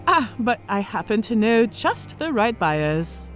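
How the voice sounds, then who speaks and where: A woman's voice: fairly high pitch, sing-song, slow pace, soft background music. A woman playing a smug female orc centurion in an audio drama, speaking with mock-sweet politeness and cool menace. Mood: smooth flattery.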